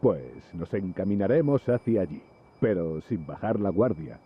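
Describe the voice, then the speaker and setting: Gruff wary soldier for a game, raspy, lively pitch, kinda dull audio. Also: male voice, moderate pace, full character voice. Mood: wary, resolute. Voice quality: husky. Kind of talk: video game voice acting.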